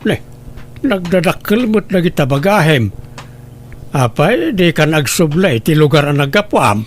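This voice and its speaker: A man playing an older village father, worried and inquisitive, with drawn-out questioning lines in radio drama style. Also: a little high, lively intonation. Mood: surprised, concerned.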